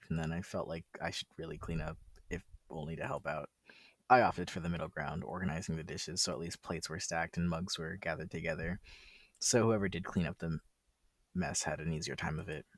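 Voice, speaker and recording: A man's voice: sits low, gravelly, no room sound. A man reading a visual novel aloud in a casual way, breaking in with informal, sweary commentary. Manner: informal, profane, interjecting.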